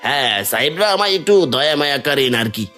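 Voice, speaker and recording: A man's voice: medium pitch, expressive, fairly quick, pushed and bright, soft background music. A man playing a sly, servile merchant swindler in a cartoon, with an ingratiating, scheming way of talking. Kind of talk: cartoon villain dialogue.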